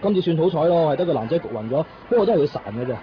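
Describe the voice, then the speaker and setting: A man plays a brisk Hong Kong fire captain who waves everything away. He talks very fast in Cantonese, a little high, in radio drama manner. The sound is muffled and clearly noisy. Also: animated, close to an everyday voice. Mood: dismissive. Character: male fire brigade captain, brisk, dismissive, downplaying. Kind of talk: 1990s radio drama acting. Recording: muffled radio recording with clear background noise.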